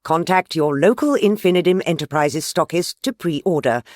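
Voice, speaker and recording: An actor playing a slick, upbeat commercial announcer in a parody advert for a radio comedy. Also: male voice, fairly high pitch, normal speed, no room sound, studio quality, natural voice.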